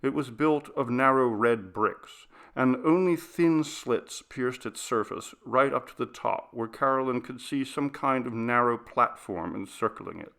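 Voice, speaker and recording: A man reading a literary ghost story aloud as an audiobook narrator, in a measured, dramatic storytelling manner. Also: medium pitch, creaky, a bit bright.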